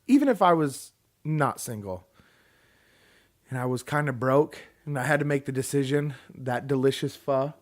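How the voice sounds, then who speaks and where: A man's voice: mid-range voice, expressive, normal speed. Male podcast co-host, casual and teasing, mid-range voice, lots of restarts. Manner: joking, teasing, restarts a lot. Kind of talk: casual banter.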